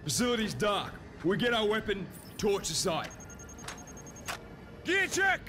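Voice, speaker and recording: Commanding space marine squad leader. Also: male voice, high voice, huge pitch swings, a little slow, heavily acted. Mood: shouted urgency. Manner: clipped, commanding, urgent. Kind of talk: military shooter game dialogue. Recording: loud music and vehicle noise behind.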